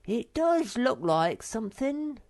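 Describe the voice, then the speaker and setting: A squeaky, excitable little male creature, pitched far above any normal voice and tense with eagerness. He yelps his words in a shrill rush, with a slight gravel underneath, from a storybook read aloud. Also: slow pace, exaggerated voice. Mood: excited. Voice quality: very high and strained.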